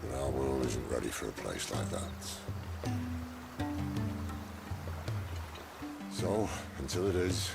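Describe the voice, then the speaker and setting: A man playing a wry, roguish middle-aged rebel leader in a video game, dry, sardonic and world-weary, with a gravelly voice. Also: rumbling low, very animated, unhurried, over loud music, heavily acted. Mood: earnest, resolute.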